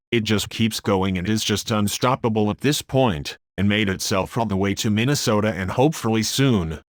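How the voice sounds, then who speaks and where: A man's voice: lowish voice, dry recording, studio quality, lightly acted. A man playing a news anchor covering a disaster live.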